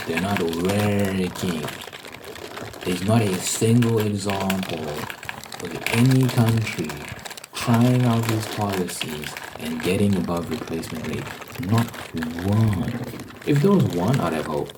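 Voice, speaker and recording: A man's voice: sits low, takes it slowly, noisy background. A man giving a rambling ASMR monologue as a video host, emphatically repeating phrases to drive his points home.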